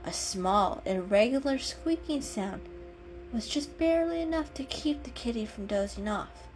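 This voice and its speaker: Female storybook narrator, higher register, dramatic reading over light music. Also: lively intonation, a little slow, a little mellow.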